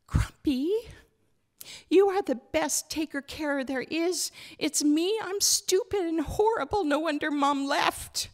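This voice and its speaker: Woman to play apologetic little granddaughter for a novel reading, high small voice, frightened and earnest. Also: slow pace. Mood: scared, self-blaming. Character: frightened, contrite young granddaughter. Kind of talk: acted literary reading.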